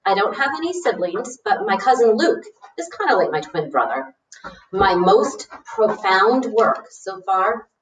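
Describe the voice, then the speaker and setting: A woman's voice: medium pitch, fairly bright tone. Boastful seventh-grade boy played by a woman, frank and informal storybook letter, echoey and off-mic. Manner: frank, self-assured, informal. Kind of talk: storybook read-aloud of a letter. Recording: roomy, echoey, distant mic.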